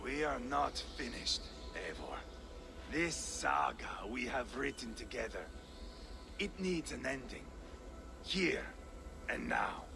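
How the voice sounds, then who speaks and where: An actor playing a bloodthirsty, taunting Viking warrior in a video game, theatrical and unhinged, moving between whispers and roars. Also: male voice, medium pitch, big pitch swings, slow pace, creaky, pauses often, noisy background, exaggerated voice. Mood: grim relish. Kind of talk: dramatic video game acting.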